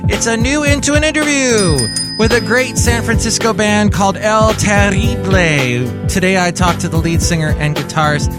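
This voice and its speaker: A man's voice: sits high, moderate pace, few pauses, loud music behind the voice. A man from California hosting his own podcast in a casual, rambling monologue full of jokey asides. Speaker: podcast host.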